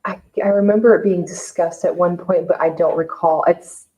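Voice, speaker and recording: A woman's voice: fairly low pitch, steady tone, moderate pace, a crowd in the background, low-quality recording. A woman participant speaking informally during a board meeting discussion. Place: indoor town board meeting room.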